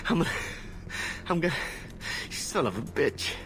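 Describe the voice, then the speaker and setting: A man's voice: on the high side, big pitch swings, normal speed. An actor playing a wounded mercenary grunt in a video game, a man in pain who talks in strained, bitter, agitated bursts. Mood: pained, panicky. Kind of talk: video game character acting.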